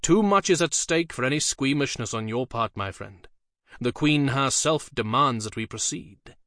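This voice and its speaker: A man's voice: medium pitch, expressive, normal speed, fairly bright tone, no room sound, clean studio sound. A male narrator voicing a ruthless royal spymaster who questions curtly and insistently. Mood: dismissive. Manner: curt, insistent interrogation.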